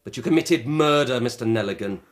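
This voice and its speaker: An actor playing an eager, stubbornly confident British police inspector in a radio drama, earnest and insistent. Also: male voice, medium pitch, fairly quick, lightly acted. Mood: stern.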